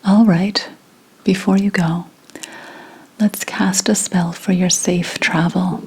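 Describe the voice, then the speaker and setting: Woman playing a slow, whispering witch. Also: lowish voice, fairly even intonation, breathy edge, exaggerated voice, low-quality recording. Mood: solemn, soothing. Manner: hushed, deliberate, unhurried, mostly whispering. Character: shrewd, self-reliant female 17th-century witch, kindly advising. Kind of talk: whispered ASMR roleplay.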